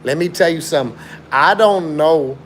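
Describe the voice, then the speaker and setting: A man's voice: fairly high pitch, moderate pace, a little hiss or hum. Emphatic young man chatting on a livestream. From born in Chicago, raised in South Africa. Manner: emphatic, repetitive, slangy talk with frequent restarts. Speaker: livestream host. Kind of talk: casual live-stream chat.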